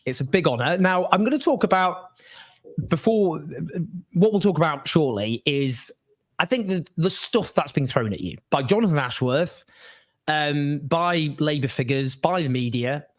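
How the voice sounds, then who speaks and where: A man's voice: on the high side, expressive, normal speed. Guy hosting a political interview, punchy and emphatic, lots of restarts. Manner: emphatic, building up with figures and restarts. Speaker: interview host.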